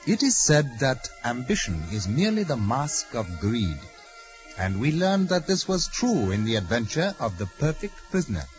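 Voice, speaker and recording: A man's voice: medium pitch, sing-song, normal speed, close to an everyday voice. A male actor playing a reverent, wise servant of a mystic hero, speaking formally and deferentially in a 1940s radio drama. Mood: solemn, narrating. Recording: dull vintage radio recording, music.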